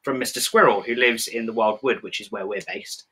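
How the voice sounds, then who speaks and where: A man's voice: fairly low pitch, a little fast, sharp tone, breathy edge. A young man hosting a children's cooking demo in a chatty, encouraging way. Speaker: children's show host. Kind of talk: cheerful kids' cooking demo.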